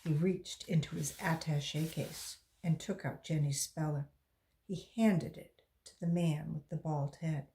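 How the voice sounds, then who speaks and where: A volunteer reader from West Virginia, a woman reading a children's book aloud in a slow, expressive storytelling voice. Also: deep voice.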